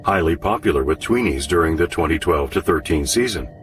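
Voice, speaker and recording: Talking virtual room: very low, courteous, encyclopedic, set back behind loud music. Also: male voice, lively intonation, brisk, distant mic. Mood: formal, helpful. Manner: formal, instructive, encyclopedic. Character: polite talking virtual-reality room, an artificial guide. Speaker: computer guide. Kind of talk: radio drama acting.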